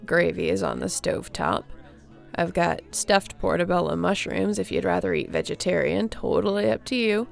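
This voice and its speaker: Woman acting as young surfer girl, heavy vocal fry, mid-pitched, easygoing, friendly, casual roleplay chat, music underneath. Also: normal speed. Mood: cheerful, hospitable. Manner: laid-back surfer slang, chuckling, welcoming.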